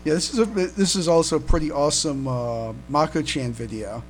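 Man, fairly high voice, hesitant and repetitive, casual podcast commentary, noisy recording with a hum. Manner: hesitant, halting, repeats words. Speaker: podcast co-host.

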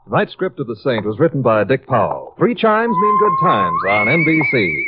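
A man's voice: medium pitch, wildly swooping pitch, normal speed, husky. An American man announcing in 1950s network radio style. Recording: muffled vintage radio broadcast.

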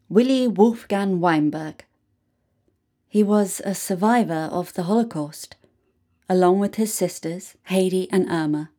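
A woman narrates a written first-person story at a slow pace. Her voice is mid-pitched, and she reads deliberately, leaving dramatic pauses between phrases. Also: a little mellow. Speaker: reader of a written true story.

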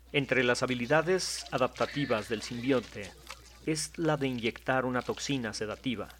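A man, narrating a scripted audio drama at a mid pitch. He tells the story in a measured, descriptive way, with a faint hiss behind him. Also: fairly bright tone.

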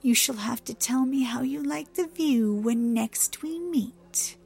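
A woman playing a cruel, menacing goddess of the dead in an audiobook, with a raspy, whispery hiss and sardonic delivery. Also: high-pitched, darkish tone, soft background music, pitched above the performer's own voice, heavily acted. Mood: gloating. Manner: sneering, sardonic, drawn-out menace.

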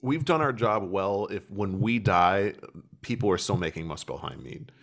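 A man's voice: sits low, moderate pace, creaky, a crowd in the background. A man hosting a podcast in casual chat, telling stories animatedly and laughing often. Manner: laughs often, animated storytelling with playful put-on voices. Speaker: podcast host.

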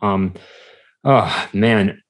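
Halting, lower-voiced man as podcast guest. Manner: hesitant, halting, searching for words. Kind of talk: casual conversational interview.

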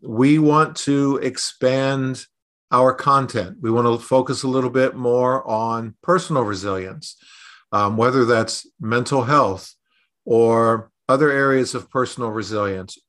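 Middle aged guy on a podcast, normal pitch, thinks out loud and explains stuff. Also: normal speed. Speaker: podcast host and resilience consultant. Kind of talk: conversational podcast interview.

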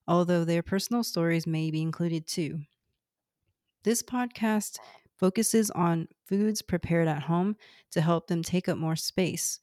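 Low-voiced woman in her thirties reading. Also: a little mellow, dry recording, studio quality. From Houston, Texas, US. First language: Cantonese. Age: 30s. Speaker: podcast host. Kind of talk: scripted podcast introduction.

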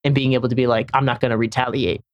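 A man's voice: medium pitch, flat delivery, racing, no echo, lo-fi sound. Young guy from senegal rambling on a podcast, lots of likes and restarts, dry close sound. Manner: rambling, many restarts and fillers. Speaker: camera assistant guest. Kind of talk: casual podcast conversation.

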